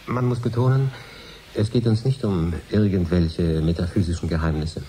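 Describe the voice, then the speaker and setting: A man's voice: sits low, steady tone, moderate pace, dark tone, a little hiss or hum, close to an everyday voice. An actor playing a sober, factual professor explaining his experiment in a radio drama. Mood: matter-of-fact. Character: sober, matter-of-fact male professor leading an experiment. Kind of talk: 1980s German radio drama acting as interview.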